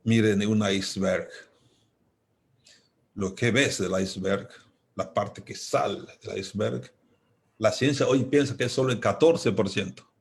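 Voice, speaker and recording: A man from Congo with a Congolese accent, giving a reflective philosophical talk as an invited speaker, deliberate and emphatic, repeating key phrases. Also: fairly low pitch, fairly even intonation, creaky.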